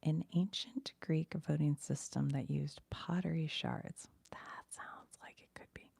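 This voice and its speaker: A woman whispering as an ASMR streamer, reading trivia aloud in a hushed, breathy voice and musing casually as she goes. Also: low voice, steady tone, a little slow, no echo.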